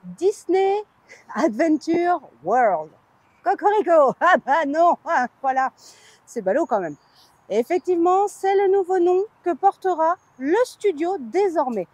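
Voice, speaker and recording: A woman's voice: very high-pitched, very animated, unhurried, a little mellow, no echo. A woman from France hosting a vlog, chatting informally with a playful, candid manner. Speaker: vlog host. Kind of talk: chatty theme park news vlog.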